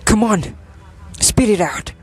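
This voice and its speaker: A man's voice: sits high, rapid-fire, a bit bright, husky. A man playing a proud, desperate warrior king in an amateur Shakespeare radio drama, speaking in an emphatic, declamatory way. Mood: agitated, demanding. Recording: music behind the voice.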